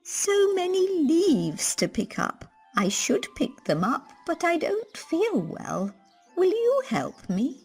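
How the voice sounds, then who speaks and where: A frail, trusting old grandmother, drags her words out slowly. Her breathy voice swoops high and low in a kindly sing-song, as in a storybook cartoon. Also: huge pitch swings, a little mellow, soft background music, heavily acted. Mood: frail, needy. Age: older. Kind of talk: children's cartoon storybook acting.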